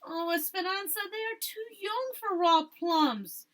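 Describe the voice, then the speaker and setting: A woman voicing an earnest, knowing young schoolgirl in a storybook, with emphatic, drawn-out words. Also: very high-pitched, pitched above the performer's own voice. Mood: chiding. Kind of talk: storybook read-aloud.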